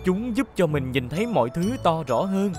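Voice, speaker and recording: Young heroic cartoon police car: kind, confident, helpful, reassuring delivery. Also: male voice, on the high side, sing-song, normal speed, over music. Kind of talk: animated children's cartoon dub.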